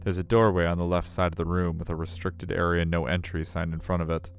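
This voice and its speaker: A man's voice: deep voice, flat delivery, fast talker, clear, resonant tone. A man reading a visual novel aloud as a streamer, in a storytelling style. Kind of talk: storytelling narration with casual asides. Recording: music under the voice.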